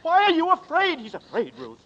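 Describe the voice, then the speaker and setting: A man's voice: very high-pitched, wildly swooping pitch, a little fast, a bit bright, heavily acted. An actor playing a desperate young man who is accused of murder, speaking in a 1940s radio drama in an urgent, pleading way. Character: desperate hunted young man, Danny Nearing. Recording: dull old radio recording.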